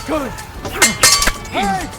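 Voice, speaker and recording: An actor playing a frantic, shouting castle guard in a medieval game battle. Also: male voice, very high-pitched, lively intonation, very slow, over music, full character voice. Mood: alarmed, battling. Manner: shouting, urgent.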